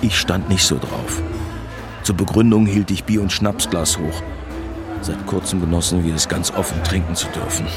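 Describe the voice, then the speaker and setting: A man's voice: deep voice, moderate pace. An actor playing a wry man who looks back on his village youth in literary, ironic radio drama narration. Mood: nostalgic, wry. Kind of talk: scripted first-person radio drama narration. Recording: music behind the voice.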